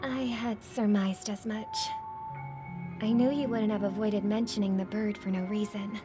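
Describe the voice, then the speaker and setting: An actress playing an earnest, idealistic young woman in a video game, speaking softly and thoughtfully. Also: medium pitch, steady tone, normal speed, music in the background, lightly acted. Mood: wistful, firm. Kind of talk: dramatic video game voice acting.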